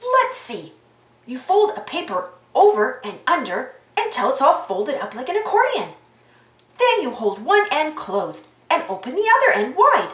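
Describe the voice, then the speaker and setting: A woman reading a children's storybook aloud in an animated, exaggerated, sing-song way for young children. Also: high-pitched, normal speed. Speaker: storybook reader. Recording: roomy echo.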